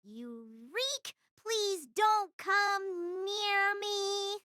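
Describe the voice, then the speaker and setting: A woman's voice: very high-pitched, sing-song, moderate pace, pushed and bright, no echo, studio quality, heavily acted. A woman voicing a prim, prickly elementary school girl who speaks in formal, haughty, blunt sentences in an acted character performance. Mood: stubborn, cold. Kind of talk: acted dialogue, animated-character delivery.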